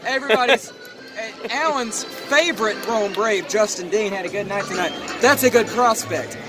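A man talks excitedly and jokes in the stands at a ballpark, his voice pitched high. A loud crowd and booming music surround him. He has to push through the stadium noise. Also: normal speed. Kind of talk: casual chat. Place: ballpark stands during a game.

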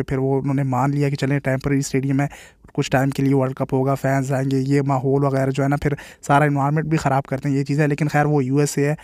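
A man's voice: mid-range voice, a little mellow, no room sound. Chatty man. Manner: chatty, opinionated, keeps saying "bhai". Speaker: cricket vlogger. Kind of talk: casual walking vlog commentary. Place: outdoors, walking along streets and parks.

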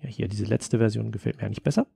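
Male tutorial presenter: mellow, creaky voice, casual, hesitant thinking-aloud delivery, dry close sound. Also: on the low side, racing, no echo. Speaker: tutorial presenter, audio drama editor. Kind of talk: casual screen-recorded tutorial commentary.

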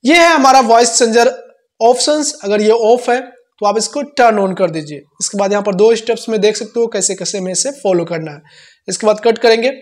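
A man's voice: sits high, brisk. A man in his twenties with an Indian accent, hosting a casual how-to tutorial, recorded indoors on a lapel mic. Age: 20s. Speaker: tech tutorial host. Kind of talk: casual how-to explanation to camera. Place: small indoor room. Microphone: clip-on lapel mic.

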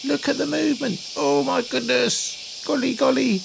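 A man's voice: extremely high pitch, fairly even intonation, normal speed, creaky. A man filming a casual travel video diary, chatting and joking to the camera with gushing exclamations, recorded on a camcorder mic outdoors and in a cave. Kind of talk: casual handheld commentary. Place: outdoors in rainforest and inside a cave. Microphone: built-in camcorder mic. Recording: hissy noisy camcorder audio.

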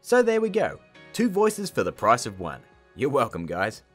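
A man's voice: medium pitch, wildly swooping pitch, normal speed, fairly bright tone, slightly rough. A man in his thirties presents a casual tutorial with music behind him. His voice moves up and down a lot. He is chatty and playful, with an informal way of guiding listeners through each step. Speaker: tutorial host. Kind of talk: casual scripted video tutorial.

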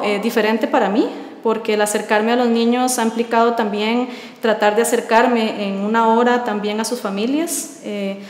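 A woman, answering interview questions thoughtfully with many filler pauses. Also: fairly high pitch. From Costa Rica. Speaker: artist in residence. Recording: roomy echo with long reverb.

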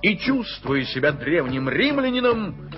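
Slow, lively narrating man. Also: fairly high pitch. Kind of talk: scripted narration in a radio play. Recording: dull vintage radio recording with music.

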